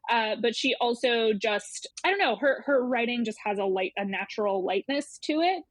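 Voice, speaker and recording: A woman's voice: fairly high pitch, lively intonation, normal speed, dry recording. A woman speaking as an interview guest, an editor explaining things in a casual, thoughtful, conversational way with some emphatic repetition.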